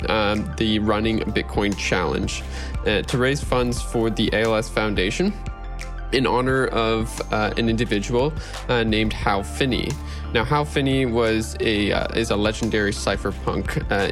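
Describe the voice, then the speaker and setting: Low-voiced man hosting a podcast over music. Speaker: podcast host. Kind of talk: casual podcast intro and announcement.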